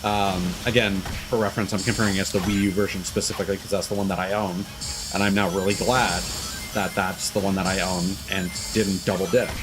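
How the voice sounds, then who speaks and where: A man's voice: low-pitched, level tone, a little fast, rough recording. A man doing casual, rambling and opinionated gameplay commentary as a live streamer. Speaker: video game live streamer. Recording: loud music behind the voice.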